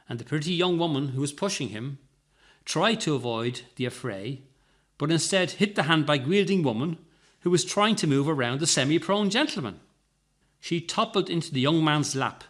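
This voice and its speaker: Animated man reading a story aloud. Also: a little high. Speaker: story reader. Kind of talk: scripted narration of a short story.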